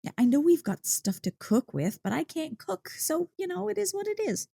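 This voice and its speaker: A woman playing an excitable, playful dog-girl girlfriend in an ASMR roleplay, gushing and teasing with mock indignation. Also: very high voice, moderate pace, gravelly, no echo, heavily acted. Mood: playfully indignant. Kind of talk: ASMR roleplay, one-sided conversation.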